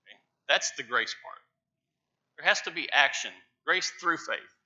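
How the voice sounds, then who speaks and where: A middle-aged man preaching from a church pulpit, stressing key words with emphatic, rising loudness. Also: on the high side, expressive, measured pace, husky. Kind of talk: sermon. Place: large church sanctuary with pews. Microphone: lapel mic, far camera. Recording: dull church livestream audio.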